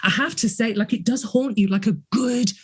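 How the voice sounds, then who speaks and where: A woman's voice: fairly high pitch, moderate pace, breathy edge. A woman hosting a podcast interview over a video call, effusive and gushing with praise. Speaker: podcast host. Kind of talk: interview conversation.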